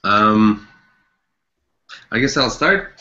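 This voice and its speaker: Male developer from Canada, informal presenter. Lower pitch, sharp tone, muffled recording. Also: lively intonation, drawn-out words. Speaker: front-end developer presenting a project.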